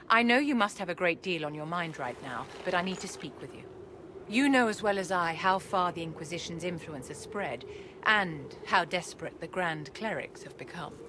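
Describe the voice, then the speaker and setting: An actress playing an imperious, elegant aristocratic enchantress in a video game, speaking in a poised, condescending, formal way. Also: female voice, on the low side, noisy background, natural voice. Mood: firm, persuasive. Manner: poised, haughty, condescending endearments, measured formal speech. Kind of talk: video game cutscene acting.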